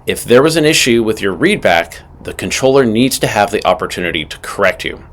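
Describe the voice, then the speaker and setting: Male instructor, lower register, lively intonation, scripted and methodical teaching read with clear emphasis. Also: a little fast. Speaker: aviation instructor. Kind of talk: scripted instructional narration with acted radio call examples.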